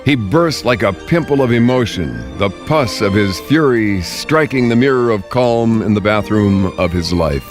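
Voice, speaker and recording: A man's voice: fairly low pitch, lively intonation, a little slow, hardly any pauses. A man hosting a radio show with comedic banter and a theatrical, jokey delivery. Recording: music bed under the voice. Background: music.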